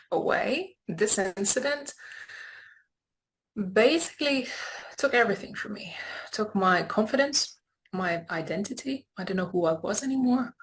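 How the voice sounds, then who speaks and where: A woman's voice: on the low side, creaky, a bit bright, slight background noise, rough recording. A woman from Lithuania speaking as an interview guest, telling her personal story in a candid, reflective way.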